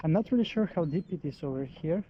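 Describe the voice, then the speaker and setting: A man's voice: on the high side, lively intonation, quick pace, highs cut off, a little hiss or hum. Upbeat man. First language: Macedonian. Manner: enthusiastic, touristy commentary. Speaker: travel vlogger. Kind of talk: casual on-location vlog narration. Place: outdoors on a seaside beach promenade.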